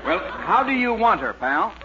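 An actor playing a brisk, wisecracking small-time bookie in a 1940s radio comedy, slangy and clipped. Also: male voice, high-pitched, sing-song, crowd noise. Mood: businesslike. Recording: muffled old radio recording with noise.

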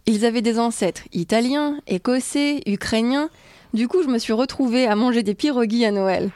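Upbeat French woman co-host, slightly high voice. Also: moderate pace, a little mellow. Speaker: podcast co-host. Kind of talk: scripted travel podcast talk.

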